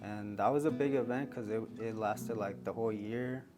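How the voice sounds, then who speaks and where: A man in his twenties from Mexico, a student answering interview questions in a relaxed way with many fillers. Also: lowish voice, normal speed. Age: 20s. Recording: guitar music playing underneath.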